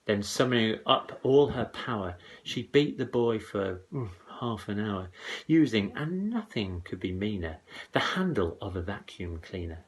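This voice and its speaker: A man reading comic verse aloud to children as a dramatic, theatrical storyteller. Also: fairly low pitch. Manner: dramatic, expressive, deliberate rhymed delivery.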